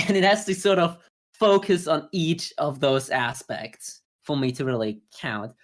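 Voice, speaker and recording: A man co-hosting a podcast, talking through his views in a measured, explanatory way in a casual review discussion. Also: a little high, steady tone. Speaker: podcast co-host.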